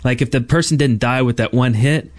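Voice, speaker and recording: A man's voice: medium pitch, a little fast, no room sound. A man co-hosting a casual gaming podcast, explaining things in detail and piling up clauses as he goes. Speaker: podcast co-host.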